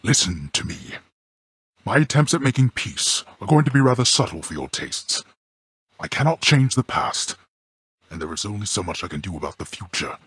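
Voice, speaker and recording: An actor playing a stern, proud old god of the underworld and gruff father in a video game, speaking in a formal, archaic, imperious and curt way with a deep, gravelly, husky voice. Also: big pitch swings, moderate pace, fairly bright tone, no room sound, full character voice. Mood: solemn, halting. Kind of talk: video game character acting.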